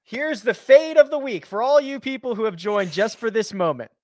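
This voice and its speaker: A man's voice: very high voice, moderate pace, dry recording, studio quality. A man hosting a sports betting podcast, chatting casually with energy and wrapping up the show. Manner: energetic, chatty, upbeat sign-offs. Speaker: podcast host.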